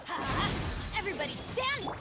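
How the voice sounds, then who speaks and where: An actress voicing a fierce, commanding little fire-wielding video game character in theatrical combat lines, over game music. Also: female voice, extremely high pitch, big pitch swings, normal speed, heavily acted. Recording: loud game music behind. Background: game music and combat effects.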